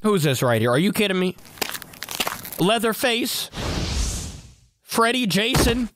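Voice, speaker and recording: A man's voice: sits high, big pitch swings, takes it slowly, background noise. A young man with headphones giving casual, exclamation-filled reaction commentary on a video. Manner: exclaiming, laughing, reading subtitles aloud. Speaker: YouTube reaction host. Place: indoor room. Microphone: studio mic with over-ear headphones. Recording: loud backing music under voice. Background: loud music from the reacted video.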